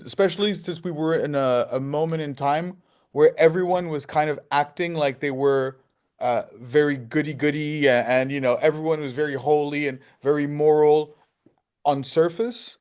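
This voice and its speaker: A man's voice: fairly high pitch, a little mellow. A man who is a filmmaker, answering interview questions conversationally and explaining his ideas with rhetorical questions.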